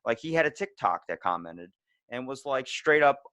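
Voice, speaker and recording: A man's voice: mid-range voice, big pitch swings, normal speed, a bit bright, no echo, clean studio sound. A man hosting a podcast in casual chat, rambling along in slang with some swearing. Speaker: podcast host.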